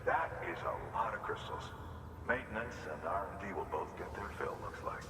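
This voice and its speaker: A man's voice: lowish voice, expressive, moderate pace, natural voice. An actor playing a folksy, appreciative facility man in a video game, talking informally and colloquially as he receives cargo. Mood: impressed. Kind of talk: scripted game dialogue, casual. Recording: echoey hall from far off, noisy ambience.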